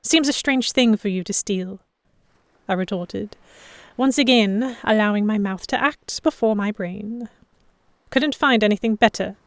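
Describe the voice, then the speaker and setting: A sharp-tongued heroine voiced by a woman narrates a pirate audio drama in the first person. Her pitch is mid-range and the sound is dry, with no room around it. She comes across as stubborn and proud. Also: unhurried, no echo, close to an everyday voice. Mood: irritated.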